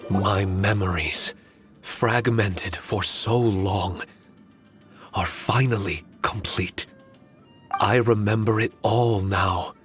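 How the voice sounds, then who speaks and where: An actor voicing an earnest, dignified shikigami spirit in a video game, speaking in a formal, measured storytelling way. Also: male voice, lowish voice, steady tone, a bit bright. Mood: solemn, reflective. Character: earnest, dignified male shikigami spirit recounting ancient history. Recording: background music under the voice.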